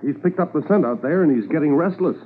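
An actor playing an eager, observant police corporal in a 1950s radio drama. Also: male voice, on the high side, expressive, quick pace, close to an everyday voice. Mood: alert. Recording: noisy, tinny 1950s radio recording.

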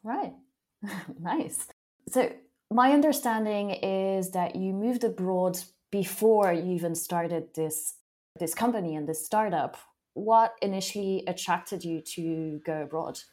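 A woman's voice: medium pitch, takes it slowly, dark tone. A woman hosting an interview show, moving between a prepared introduction and conversational questions. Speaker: podcast host.

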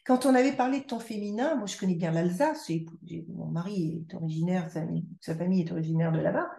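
Podcast guest: woman, low mellow voice, reflective, restarts often, roomy echo. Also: fast, vocal fry. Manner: reflective, many restarts and repetitions. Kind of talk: conversational interview.

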